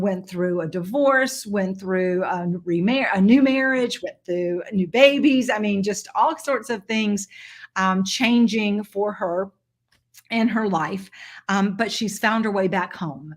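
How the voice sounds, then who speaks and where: Middle-aged woman, hosting a video call, chatty storytelling, ums and restarts, medium pitch. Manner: chatty, warm-hearted storytelling with fillers. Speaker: host of a sales team video meeting. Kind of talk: casual introduction on a video call.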